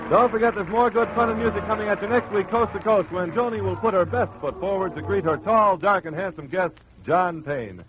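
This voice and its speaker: A man announcing a 1940s radio show with a brisk, showy sales delivery. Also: high-pitched. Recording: muffled 1940s radio broadcast. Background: loud music.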